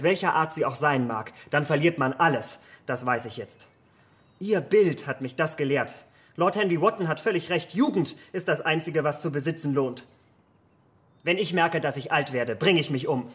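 A man's voice: on the high side, moderate pace, natural voice. An actor playing a vain, impetuous young man in a German radio play, speaking in a fervent, melodramatic way. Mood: bitter, wounded.

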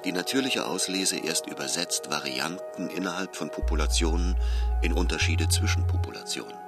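An actor playing a reflective narrator of a philosophical radio drama, thoughtful and explanatory. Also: male voice, low voice, lively intonation, moderate pace, gravelly. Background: music.

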